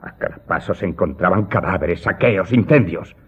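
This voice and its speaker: Wronged servant, low pitch, deferential, earnest, dramatic, radio drama, dull recording. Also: male voice, expressive, moderate pace, husky, full character voice. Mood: earnest, grave recounting. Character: aggrieved Corsican servant confessing his past to his master. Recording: muffled narrow-band audio drama recording. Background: loud music.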